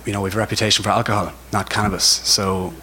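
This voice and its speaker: Fast-talking Irish man, low voice. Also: flat delivery, sharp tone. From Ireland. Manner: enthusiastic, repeats himself for emphasis. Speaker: CBD retailer on a panel. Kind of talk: informal spoken presentation. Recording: noticeable background noise.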